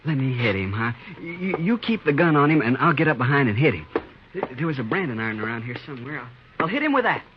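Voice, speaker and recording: An American actor playing a childish, unhinged, murderous outlaw sidekick in a 1950s radio western, whiny and stammering in folksy speech. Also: male voice, medium pitch, big pitch swings, quick pace, close to an everyday voice. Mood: eager, bloodthirsty. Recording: noisy, muffled 1950s radio broadcast.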